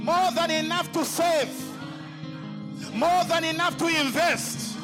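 High-voiced male pastor, slow emphatic delivery over live worship music. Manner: forceful call-and-response declarations, often shouting. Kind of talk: sermon, leading congregation in declarations.

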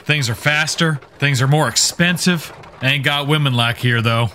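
A man's voice: mid-range voice, normal speed, bright, forceful tone, slight background noise. A man playing a tabletop game, laid-back and easygoing. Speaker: player. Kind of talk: casual tabletop chat.

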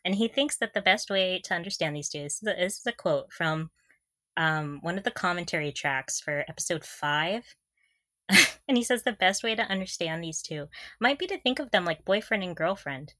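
A woman's voice: lowish voice, lively intonation, moderate pace, bright, forceful tone, dry recording. A woman co-hosting a podcast in a casual fan chat, often laughing through her sentences. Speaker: podcast co-host.

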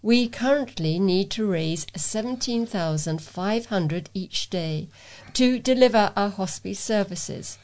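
Female volunteer presenter, mid-pitched, reading scripted local news. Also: animated, slow pace, darkish tone. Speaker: volunteer newsreader and presenter for a talking newspaper. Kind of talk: read-aloud local news.

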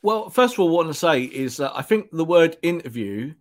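A man who is a football journalist, co-hosting a podcast in a relaxed, conversational way. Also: a little high, fairly quick. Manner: relaxed, conversational, laughs easily. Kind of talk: casual football podcast chat.

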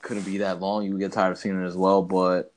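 A man's voice: sits low, completely flat delivery, a little fast. A man chatting casually as a podcast co-host, slangy and ranting about movies, often addressing his partner as bro.

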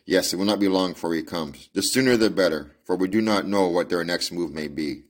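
A man's voice: low voice, brisk, natural voice. A man reading aloud and voicing a fiery old farmer, an angry, protective father. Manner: angry, forceful.

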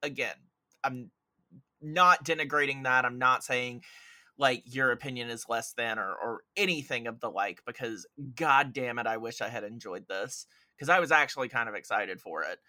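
A man's voice: medium pitch, moderate pace, pushed and bright. A man giving emphatic, casual opinions as a podcast commentator in a film discussion. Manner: emphatic, mildly profane, uses mimicked quotes.

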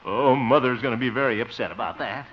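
A man's voice: mid-range voice, fast, natural voice. An actor playing a flustered, bumbling small-town man in a 1950s radio sitcom, with theatrical exclamations and exasperated muttering. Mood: pleading. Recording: muffled vintage 1950 radio broadcast.

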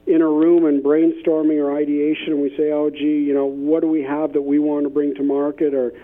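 A narrow, phone-like line carries a man's somewhat high voice. He speaks as a consultant being interviewed, explaining things conversationally. His phrasing halts and doubles back on words as he goes. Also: level tone, brisk. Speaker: interview guest, business innovation consultant. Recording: tinny, band-limited phone-like line.

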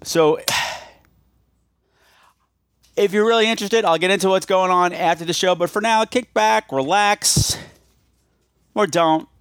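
A man hosting a horror podcast in a chatty, campy way, with theatrical drawn-out emphasis. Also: high voice, a bit bright, smooth, no room sound, studio quality. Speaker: podcast host.

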